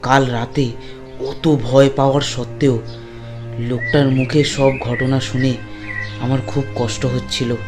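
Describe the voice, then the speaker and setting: A man's voice: mid-range voice, little pitch movement, measured pace, pauses often, over music. A man narrating a cartoon ghost story in the first person, with dramatic delivery.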